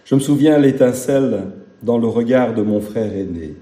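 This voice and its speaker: A man preaching to his congregation, his voice deeply dark and mellow. He mixes homely jokes with earnest repetition, and the sound carries an echo from the room. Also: animated, moderate pace. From Belgium. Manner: folksy, humorous, emphatic repetition. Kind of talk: sermon.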